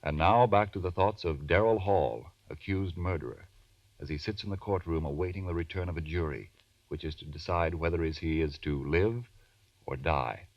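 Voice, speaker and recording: A man's voice: deep voice, moderate pace, no echo. A man announcing in a formal, emphatic 1940s radio style, with earnest scripted salesmanship. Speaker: radio announcer and sponsor pitchman. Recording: muffled, distant vintage radio recording.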